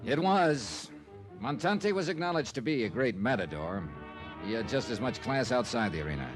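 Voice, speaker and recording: An actor playing a shrewd, wry, hardboiled private investigator with an American accent in an old-time radio detective drama. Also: male voice, medium pitch, sing-song, moderate pace, lightly acted. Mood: wry narration. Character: shrewd, sardonic male private investigator narrating his case. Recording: vintage radio recording with music.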